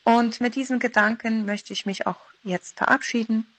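A woman's voice: fairly high pitch, fairly even intonation, moderate pace, fairly bright tone, no room sound. A woman in her thirties giving a short personal devotional talk as a guest contributor. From Philadelphia (Mennonite colony).

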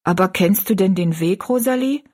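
A woman's voice: mid-range voice, moderate pace, a little mellow, slightly rough, lightly acted. A woman voicing a concerned, plain-spoken young servant girl, a loyal friend in an audiobook story. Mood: doubtful, practical. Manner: worried, practical.

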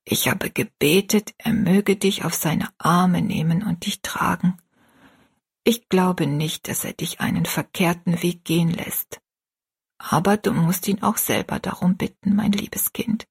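A woman voicing a gravely ill, gentle and pious mother in a story, half-whispered, slow and earnest. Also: on the low side, heavily acted. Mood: tender, weak.

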